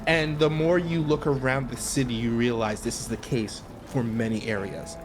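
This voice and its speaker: A man narrating a tabletop game, improvising descriptions in halting, informal phrasing. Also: mid-range voice, fairly even intonation.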